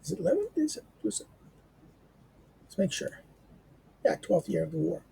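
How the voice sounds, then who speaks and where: A hoarse man, a teacher, reading a history text aloud in a halting way with many fillers and restarts, then adding his own remarks. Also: high-pitched, wildly swooping pitch, warm tone. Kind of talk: halting read-aloud with commentary.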